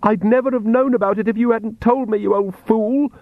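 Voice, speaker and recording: A man voicing a sharp-tongued, furious young vampire woman who snarls scornfully at her father. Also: a little high, big pitch swings, moderate pace, no room sound, phone-quality sound, pitched above the performer's own voice. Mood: panicked anger.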